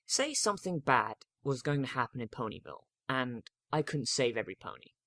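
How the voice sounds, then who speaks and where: A man's voice: medium pitch, sing-song, a little fast, bright, forceful tone, dry recording, clean studio sound. A man voicing an anxious, bookish young female unicorn, earnest and hesitant, in a fantasy story reading. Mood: worried. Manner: earnest, hesitant, self-doubting.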